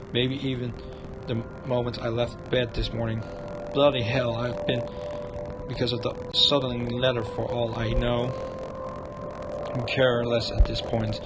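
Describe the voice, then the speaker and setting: Man reading aloud, stumbling, over loud music. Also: medium pitch, rough recording. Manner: halting, stumbling read-aloud. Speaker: game streamer. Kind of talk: reading visual novel narration aloud on livestream.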